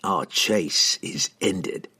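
A man voicing a cold, ruthless male assassin in a storybook reading, speaking slowly and evenly. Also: low-pitched, husky, heavily acted. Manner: slow, even, menacing.

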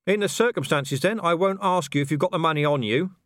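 A man's voice: fairly high pitch, quick pace, fairly bright tone, dry recording, pitched above the performer's own voice, close to an everyday voice. A man voicing a cornered but defiant city watchman in an audiobook, dry, sarcastic and needling. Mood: sneering.

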